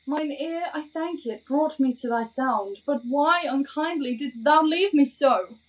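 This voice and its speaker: A woman's voice: high voice, a little slow, rough recording. An actress playing a devoted, bewildered young woman in a Shakespearean audio drama, speaking verse earnestly and pleadingly. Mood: searching, plaintive.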